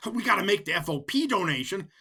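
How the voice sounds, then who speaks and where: Man playing a husky, animated mob sidekick. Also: sits high, fast talker, full character voice. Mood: chatty, nervous. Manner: rambling, eager, giggly wiseguy. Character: chatty, dim, nervous male mob deputy. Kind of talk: comedic radio play acting.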